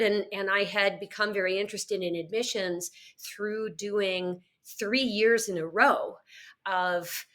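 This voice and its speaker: A woman's voice: mid-range voice, pushed and bright. A middle-aged woman, a podcast guest, telling her own story candidly and plainly. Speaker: guest, educational crisis manager. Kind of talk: interview storytelling.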